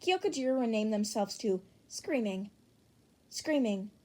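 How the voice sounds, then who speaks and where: A young woman narrates a piece of fan fiction. Her voice sits fairly high and she reads as a scripted audiobook narrator. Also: lively intonation, measured pace, dark tone.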